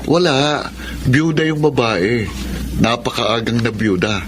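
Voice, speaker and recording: An easygoing younger brother passing on family news in a Filipino radio drama. His young voice sits at a medium pitch, with clear noise behind it. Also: expressive, slow. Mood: cheerful, gossipy. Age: young adult.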